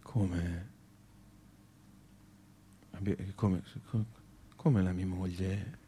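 A man's voice: sits low, fairly even intonation, extremely slow, mellow, husky, exaggerated voice. An actor playing a hapless, fussy man in a radio play, with a Tuscan accent and a hesitant, repetitive, plaintive delivery. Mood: weary reproach. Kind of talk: naturalistic radio theatre acting.

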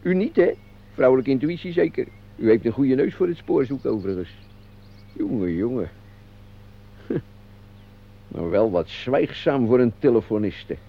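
A man's voice: medium pitch, animated, normal speed, vocal fry, pauses often. An actor playing a chatty, teasing man who mutters wry asides in a radio play, recorded muffled and narrow-band. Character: chatty teasing man courting a silent woman outdoors. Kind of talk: Dutch radio play acting.

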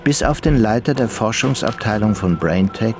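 A man giving the scripted narration of a crime radio play in a measured storytelling manner. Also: on the low side, expressive, music in the background.

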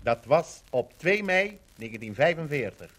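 Animated declamatory male radio narrator. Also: a little high, a little slow. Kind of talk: scripted 1950s radio documentary narration.

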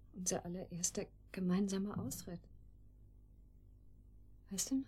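A woman playing a betrayed, pleading yet defiant lover in a radio drama, with breathy, emotional, fragmented delivery. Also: fairly low pitch. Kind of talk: German radio drama acting.

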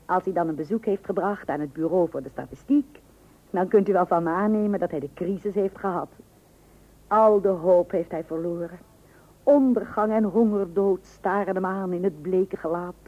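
An actress playing a dryly ironic, patient but firm housewife and mother in a radio play, with deadpan humour. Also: on the low side, moderate pace, lightly acted. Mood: wry narration. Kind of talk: radio play acting with narration to the listener. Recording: muffled narrow-band old radio recording.

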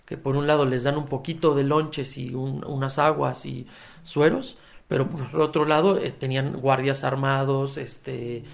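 A man answering an interview question, pausing often with fillers and restarts. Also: medium pitch, fairly even intonation, creaky. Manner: hesitant, many fillers and restarts. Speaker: human rights advocate interviewed.

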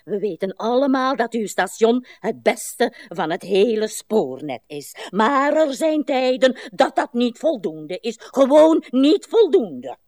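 An actress playing a weary, indignant old wife in a radio play, with imperious, melodramatic, drawn-out phrasing. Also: female voice, on the high side, sing-song, darkish tone, no room sound, clean studio sound.

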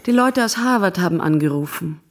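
An actress playing a caring, exasperated wife in a radio play, coaxing and plain-spoken, with a husky voice. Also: female voice, on the low side, expressive, a little fast. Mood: exasperated, practical. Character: caring, nagging, exasperated wife of an old man.

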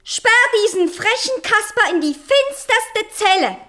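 High, spoiled young fairy-tale queen. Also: sing-song, a little slow, a bit bright, exaggerated voice. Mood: indignant, bossy. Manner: imperious, indignant, theatrical. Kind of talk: German children's radio play acting.

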